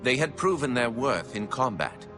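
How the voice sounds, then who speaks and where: An actor playing a solemn, proud alien warrior chronicler, narrating a game story in a grave, formal style. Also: male voice, mid-range voice, sing-song, normal speed, music in the background, natural voice. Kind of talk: scripted dramatic narration for a game.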